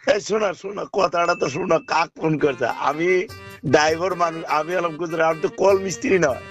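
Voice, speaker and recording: A man's voice: fairly high pitch, fast. A man, a driver on a phone call, protesting and interrupting to be heard, with music behind. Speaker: driver receiving a prank call. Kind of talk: agitated phone argument.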